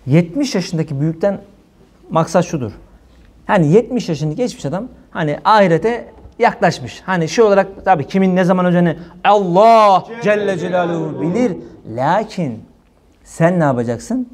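A man gives an informal religious sermon in a colloquial, animated way, asking rhetorical questions. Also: sits high, normal speed. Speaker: preacher. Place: small room indoors.

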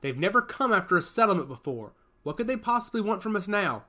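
A man voicing a steady male fighter, earnest and questioning. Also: high voice, quick pace, pitched above the performer's own voice, heavily acted. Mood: urgent.

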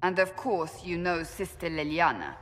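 A woman's voice: lowish voice, lively intonation, normal speed, in a large hall, lightly acted. An actress playing a stern female warrior leader who speaks in a firm, clipped, matter-of-fact way.

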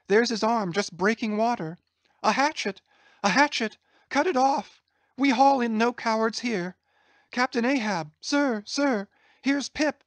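A woman's voice: medium pitch, no echo, natural voice. A woman voicing a pitiful, crazed young cabin boy in a dramatised sea story, with singsong, wandering speech. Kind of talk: dramatised classic sea novel reading.

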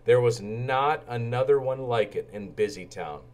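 A man reads a children's storybook aloud as a storyteller. His delivery is expressive and animated, with intonation that moves widely from line to line. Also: mid-range voice, moderate pace. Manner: animated, acts out character lines.

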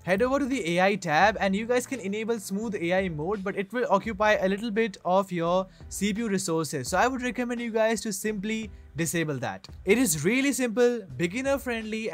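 Male tech reviewer, higher register, scripted how-to delivery, light music bed. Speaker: tech reviewer, channel host. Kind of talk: scripted tutorial narration. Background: background music.

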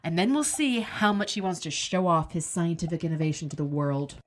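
A woman's voice: fairly low pitch, expressive, a little fast, slight background noise, lightly acted. An actress playing a bitter, wounded woman kept alive as a severed head in an audio drama, with a cutting, sarcastic delivery. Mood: scornful.

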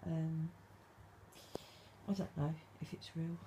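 A woman vlogging at night from her dark bedroom, speaking softly and calling out to spirits, her voice a little stuffed up from hay fever. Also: sits low, level tone, slow pace, background noise. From England. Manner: hushed, soft-spoken, calling out to spirits. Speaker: paranormal vlogger. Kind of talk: quiet late-night ghost hunting vlog.

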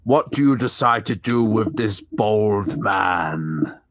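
A man's voice: on the low side, expressive, slow pace, no echo, studio quality, full character voice. A man voicing a giant, weary, imperious time-controlling cartoon being with pompous pronouncements. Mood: weary, commanding.

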